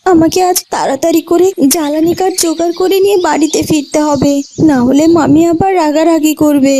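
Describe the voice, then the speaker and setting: A woman voicing a gentle, obedient young orphan girl in a fairy-tale cartoon, earnest and pleading, with music behind. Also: sits high, steady tone, brisk, slight background noise, close to an everyday voice. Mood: anxious.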